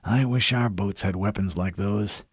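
A man's voice: lowish voice, measured pace, breathy edge, dry recording, studio quality. A man voicing a brash, suspicious male marine corporal in an audiobook, blunt and slangy. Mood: casual, impressed. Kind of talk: audiobook character voice.

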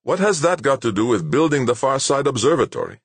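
A man's voice: medium pitch, sing-song, fairly quick, husky, no room sound, pitched above the performer's own voice. A man voicing a stubborn, self-absorbed male scientist who protests insistently in an audiobook. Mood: angry, insistent. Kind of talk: acted audiobook dialogue.

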